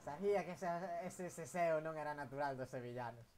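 A man's voice: fairly high pitch, fairly quick, darkish tone. A young man with a Galician accent, bantering and teasing casually while streaming a game. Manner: teasing, joking, mixes Galician and Spanish. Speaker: gaming streamer. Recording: clear background noise on voice chat.

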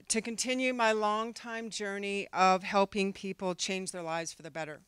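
Middle-aged woman, canadian accent, earnest prepared speech, smooth clear voice, dry close sound. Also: mid-range voice, fairly bright tone, no echo. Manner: earnest, with occasional fillers. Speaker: candidate for a board seat. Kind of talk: reading a prepared campaign speech. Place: conference room, in front of a backdrop. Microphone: handheld mic at a podium.

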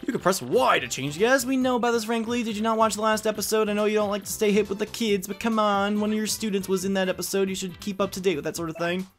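A man's voice: high-pitched, flat delivery, fast, few pauses. A man hosting a let's play, chatting and explaining as he commentates over gameplay. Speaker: let's play host and video game commentator. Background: video game music.

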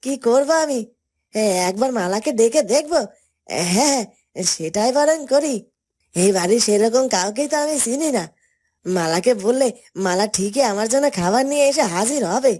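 A foolish, food-obsessed husband voiced by a woman from a fairytale cartoon. The voice is high and whining, tripping over the starts of sentences as it pleads childishly. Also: lively intonation, normal speed, dark tone. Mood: whining, hungry. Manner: whiny, stammering starts, pleading. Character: whining, gluttonous, dim husband, childishly dependent on wife. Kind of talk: Bengali fairytale cartoon dubbing.

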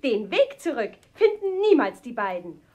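A hard-hearted fairy-tale stepmother with a high, swooping voice. She scolds and orders in sharp, theatrical lines for a children's audio play. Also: sing-song, normal speed. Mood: scheming. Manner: sharp, commanding rhymed lines.

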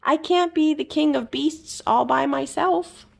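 A woman's voice: high-pitched, measured pace, creaky, pitched above the performer's own voice, heavily acted. A woman voicing a bold, playful, adventurous boy mouse in a children's storybook, eager and urging. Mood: pleading.

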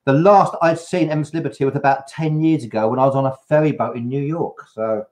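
An older man hosting a live video-call chat, telling a travel story with lively, anecdotal energy. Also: medium pitch, a little fast. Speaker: host. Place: home room, video call.

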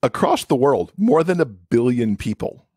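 A man's voice: medium pitch, very animated, moderate pace, darkish tone, slightly rough, no room sound, clean studio sound. A man speaking as an expert podcast guest, explaining things in a conversational interview style.

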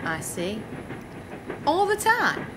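A woman's voice: high voice, huge pitch swings, slow pace, noisy background, exaggerated voice. An actress playing a naive, inquisitive young woman in a radio play, blunt in manner. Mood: puzzled. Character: naive questioning young woman, bored by football. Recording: train ambience behind.

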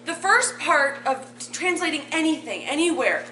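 A woman in her thirties reading aloud from a sheet in a community room, explaining a fake translation in a deadpan, mock-scholarly way. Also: high voice, moderate pace, slight background noise. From Poland. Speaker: bardic performer. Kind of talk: mock translation comedy bit. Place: community meeting room with audience. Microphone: far camera. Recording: echoey room from afar.

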